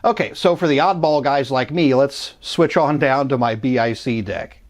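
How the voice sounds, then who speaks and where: A man's voice: medium pitch, moderate pace. A man hosting a casual hands-on gear demo, chatting informally as he talks through each step. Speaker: host of a vintage electronics channel.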